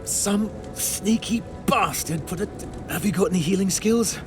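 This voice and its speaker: A man's voice: sits high, measured pace, over music. A man playing a weary, dutiful wounded soldier scout in a video game, with a strained delivery.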